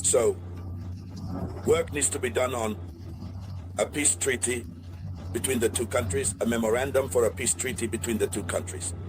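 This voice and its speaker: A man hosting an online political news analysis, speaking deliberately to viewers and repeating key phrases. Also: medium pitch, fairly even intonation, noisy background.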